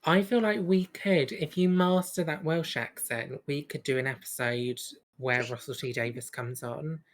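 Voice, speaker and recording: A woman's voice: low voice, normal speed. A woman chatting casually as a podcast co-host, giggly and teasing. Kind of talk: casual bantering chat.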